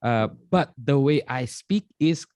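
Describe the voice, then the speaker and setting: A middle-aged man from Indonesia, an expert guest explaining in an animated way with lots of examples and fillers. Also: mid-range voice, slow pace, dry recording. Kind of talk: conversational interview explanation.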